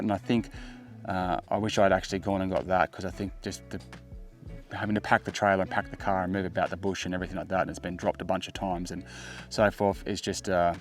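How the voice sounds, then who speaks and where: A middle-aged Australian man with a low-pitched voice talks through a gear review as a vlogger. His phrasing is casual and laid-back, as if chatting to the viewer, with music underneath. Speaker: tech vlogger, product reviewer. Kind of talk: casual product review to camera.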